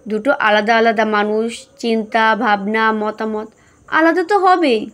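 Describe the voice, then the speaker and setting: A woman voicing a patient middle-aged mother in an audio story, soothing and gently chiding. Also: a little high, a little slow. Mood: soft, firm. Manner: soothing, chiding, homespun advice.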